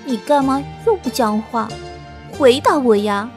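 A woman's voice: on the high side, animated, unhurried. A woman voicing a petulant, teasing young female beast spirit who talks in a whiny, impatient way. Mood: pouty. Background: background music.

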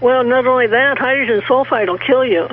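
Halting woman on a tinny phone line. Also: high-pitched, a little hiss or hum. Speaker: panelist on a talk show. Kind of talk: panel discussion, explaining news reports. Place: remote call into radio show.

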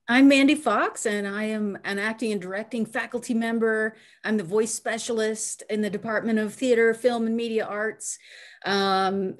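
A woman in her forties introduces herself as a teacher on an online panel. Her voice sits in the middle of the range. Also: medium pitch. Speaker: acting faculty member, voice specialist. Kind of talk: self-introduction. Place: home room, video call.

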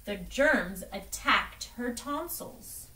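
A young woman reading a storybook aloud to children in an expressive, deliberate way. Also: fairly high pitch. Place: small room at home, indoors. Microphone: camera microphone from across the room. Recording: distant microphone in a room.

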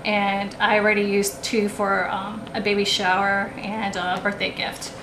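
A woman shows her finds at a table in a relaxed, rambling way, filling pauses with ums and restarting her sentences. The room rings with echo, and a clear hum of noise sits under her voice. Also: medium pitch. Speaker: haul vlogger. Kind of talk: casual show-and-tell haul. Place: indoors at a table, camera over the table. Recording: echoey room with background noise.

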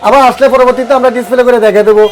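High, fast-talking shopkeeper man. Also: fairly even intonation. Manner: brisk, repetitive, salesman-like. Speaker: tile shop owner. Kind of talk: sales pitch in a shop. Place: tile warehouse.

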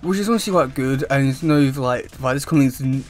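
A young man chatting casually to camera as a YouTube host, with a halting, wordy delivery. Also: medium pitch. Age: young adult. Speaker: YouTube host reviewing comic news. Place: small room indoors at home.

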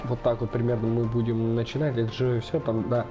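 A man's voice: mid-range voice, little pitch movement, fairly quick, over music. A young man hosting a gaming channel, chatting casually as he plays a football management game, thinking aloud with lots of fillers, his voice a little off from being slightly ill. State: slightly unwell, voice affected. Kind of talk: casual let's-play commentary.

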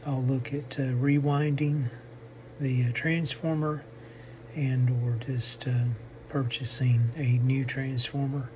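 A man narrating a hands-on repair demonstration, haltingly thinking aloud as he works at a bench indoors. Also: medium pitch, level tone, noisy background. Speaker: host of a radio repair channel.

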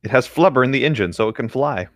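A man's voice: medium pitch, animated, fast, no echo, studio quality. A man co-hosting a casual comedic read-aloud, riffing in a joking, nerdy way as he goes. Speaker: co-host reading a book aloud and riffing.

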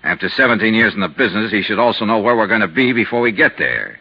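An actor playing a hard-boiled, weary insurance investigator in a 1950s radio detective drama. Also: male voice, fairly low pitch, animated, fast talker, breathy edge. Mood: grim, determined. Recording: muffled 1950s radio recording.

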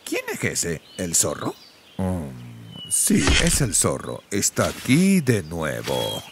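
Very deep, gruff cartoon cat. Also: male voice, huge pitch swings, takes it slowly, background noise, exaggerated voice. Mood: suspicious. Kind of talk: dubbed children's cartoon acting. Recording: loud music behind the voice.

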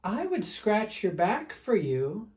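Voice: medium pitch, very animated, slow, a little mellow, pitched above the performer's own voice. A storyteller voicing a big fluffy female bear, frank and bossy, in a children's story. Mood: frank advice. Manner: insistent, scolding, exclaiming.

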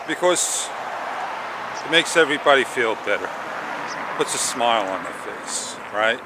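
Middle-aged man, unhurried, rambling walk-and-talk vlog, slightly sore throat, outdoor noise and traffic behind. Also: mid-range voice. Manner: rambling, relaxed, thinks aloud with many pauses. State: sore throat, sucking on candy. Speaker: vlogger. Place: outdoors on a park path in the morning. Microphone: action camera held at arm's length. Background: passing traffic and outdoor ambience.

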